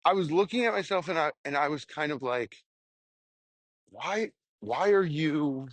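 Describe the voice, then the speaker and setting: A young adult man, a stand-up comedian, rambling casually and candidly in a halting, self-reflective way with some casual swearing. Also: a little high, lively intonation, fairly bright tone, dry recording. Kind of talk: casual rambling monologue, audio journal.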